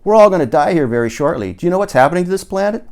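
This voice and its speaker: An urgent middle-aged male stoner conspiracy theorist, voiced by a man reading an audiobook, rants with preachy emphasis. His pitch is raised above a plain narrating voice and he leans hard on his words. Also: animated, very fast, a little mellow. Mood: alarmed, urgent. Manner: emphatic, alarmed, preachy delivery. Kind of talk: audiobook character dialogue.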